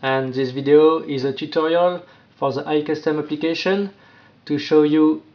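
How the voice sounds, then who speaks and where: A man presenting an informal step-by-step app tutorial, with halting, non-native phrasing. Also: a little high, steady tone. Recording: dull, lo-fi sound.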